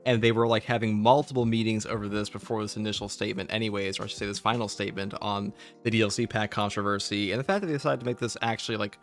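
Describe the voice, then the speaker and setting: A man's voice: on the low side, fairly even intonation, quick pace, hardly any pauses. A man hosting a casual, conversational discussion about games. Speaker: host.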